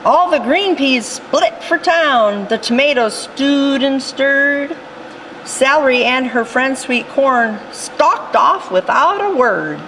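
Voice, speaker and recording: A woman reads a rhyming picture book aloud, taking her time over every line. Her fairly high voice rises and falls in a lively, sing-song way. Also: a little hiss or hum, low-quality recording. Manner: slow, expressive storytelling. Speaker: storytime reader.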